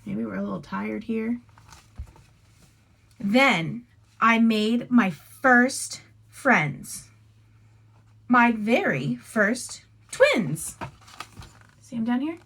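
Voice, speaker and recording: Female teacher, higher voice, unhurried pace, expressive picture-book read-aloud with casual instructive asides for young kids. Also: gravelly, slightly rough.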